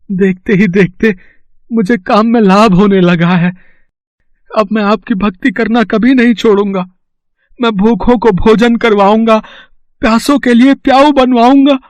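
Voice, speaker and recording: A man playing an excitable merchant husband in a moral story, melodramatic and emphatic. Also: sits high, measured pace, dry recording. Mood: grateful, devout. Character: excitable greedy merchant husband, prone to despair.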